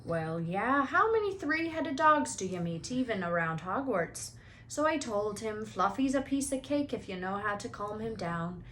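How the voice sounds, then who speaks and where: A woman's voice: mid-range voice, sing-song, moderate pace, fairly bright tone, slight background noise. A woman voicing a big friendly, rambling gamekeeper man in a children's book read-aloud. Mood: casual, rambling. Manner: folksy, rambling, blurting.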